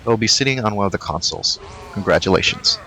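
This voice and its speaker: A man narrating a video game walkthrough, giving brisk, matter-of-fact directions to collectibles. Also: on the low side, expressive, bright, forceful tone, low-quality recording. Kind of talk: walkthrough voice-over.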